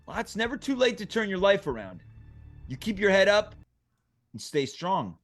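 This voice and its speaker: Man playing a higher-pitched, firm veteran inmate. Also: big pitch swings, normal speed, soft background music. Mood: encouraging. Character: stern but kindly senior male prison inmate. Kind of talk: comedic live table read.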